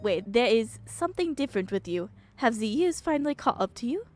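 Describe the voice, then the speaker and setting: A woman's voice: fairly high pitch, big pitch swings, a little fast, faint music behind. A woman voicing a haughty, world-weary female French cat, pompous and scornful, in a video game. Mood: disdainful. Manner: pompous, philosophical, scornful, peppering French phrases.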